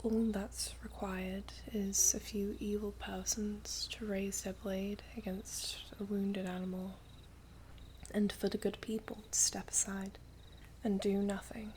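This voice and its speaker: A woman playing a gentle, wistful elven princess in an ASMR roleplay, speaking in a breathy, hushed voice with formal storybook phrasing. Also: mid-range voice, little pitch movement, a little slow, slight background noise, natural voice. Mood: solemn, reproachful. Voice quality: breathy, whispery. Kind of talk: ASMR roleplay, soft whispery close speech.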